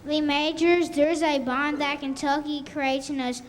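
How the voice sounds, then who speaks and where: A woman's voice: sits high, fairly even intonation, measured pace. A female performer playing a sentimental male character actor in a scripted school radio show. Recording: echoey school hall.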